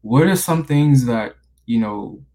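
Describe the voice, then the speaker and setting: A college senior in his twenties from the Bahamas, hosting a podcast interview in a relaxed way with lots of fillers. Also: medium pitch, slow, gravelly. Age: 20s. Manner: relaxed, many fillers like you know and like.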